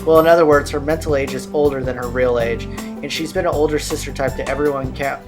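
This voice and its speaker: Male let's player, mid-pitched, reads visual novel narration casually, soft music underneath. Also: fairly even intonation, quick pace. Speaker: let's play host. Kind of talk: reading game narration aloud with casual asides. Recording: game music behind the voice.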